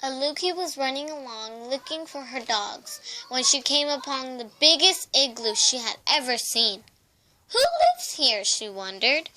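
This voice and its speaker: A woman's voice: high-pitched, moderate pace, hardly any pauses, pushed and bright. A young woman reading a children's storybook aloud, carefully, occasionally stumbling and repeating words. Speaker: storybook reader.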